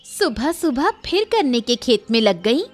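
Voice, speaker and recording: A woman acts out a spiteful village nag in a cartoon. Her voice is high and unhurried, taunting and sharp-tongued, over faint music. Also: very animated. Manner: taunting, nagging. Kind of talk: Hindi cartoon story voice acting.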